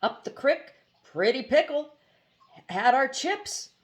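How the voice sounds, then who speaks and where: A woman's voice: high voice, expressive, slow pace, voice pushed up. A woman voicing a disconsolate male water genie in a storybook, plaintive and full of guilt. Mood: resigned gloom. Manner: mournful, plaintive.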